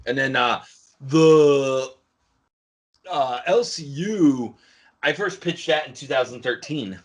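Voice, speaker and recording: A young man reminiscing over a video call, halting with fillers and chuckling. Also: medium pitch. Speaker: fan film creator. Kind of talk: casual chat on a video call.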